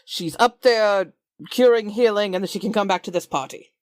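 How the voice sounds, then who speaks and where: A woman's voice: medium pitch, moderate pace, dry recording. A woman playing in a tabletop roleplaying podcast, switching between casual chat, character dialogue and read-aloud narration.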